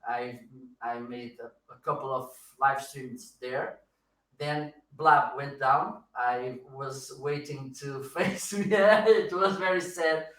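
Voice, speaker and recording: A Portuguese man with a Portuguese accent, a guest chatting on a live stream in his second language. He talks haltingly, searches for words and laughs often. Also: medium pitch, a bit bright, far from the mic. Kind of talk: casual live-stream chat. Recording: roomy echo, slightly off-mic.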